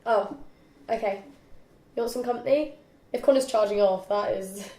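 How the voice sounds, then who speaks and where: A woman's voice: medium pitch, normal speed, warm tone, lightly acted. A young woman playing a friendly, teasing student in a naturalistic film table read, recorded in an echoey room. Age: young adult.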